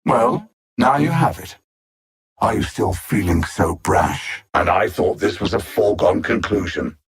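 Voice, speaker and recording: A man voicing a cold, arrogant alien machine-mind villain in a video game, speaking in precise, formal phrases with theatrical condescension and a gravelly, husky edge. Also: low voice, animated, unhurried, heavily acted. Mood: haughty taunting.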